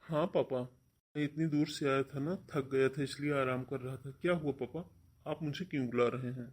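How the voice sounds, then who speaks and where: A man's voice: medium pitch, steady tone, moderate pace, close to an everyday voice. A man voicing a stern, domineering elder brother, firm and insistent, in a cartoon family melodrama. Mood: defensive.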